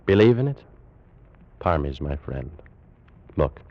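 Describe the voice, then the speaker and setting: An actor playing a proud, fervent ancient Egyptian priest and alchemist in a grave, theatrical 1940s radio drama style. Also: male voice, extremely low pitch, very animated, takes it slowly, creaky, no echo, full character voice. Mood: solemn, assured. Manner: grave, formal, theatrical delivery. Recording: muffled old-time radio recording.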